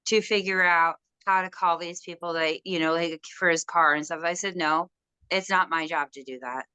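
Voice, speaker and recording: A young woman with a loud voice, casually telling a story about a stubborn resident at work and acting out both sides. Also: fairly low pitch, moderate pace, clean tone, dry recording, poor audio. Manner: animated storytelling, quoting others. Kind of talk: casual conversation.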